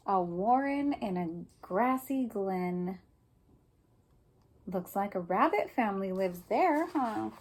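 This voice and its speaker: Slow-talking woman reading to children, very lively pitch. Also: slight background noise. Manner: simple, instructive, prompting listeners with questions. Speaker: teacher reading a picture book to children. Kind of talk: read-aloud story time with questions to young children.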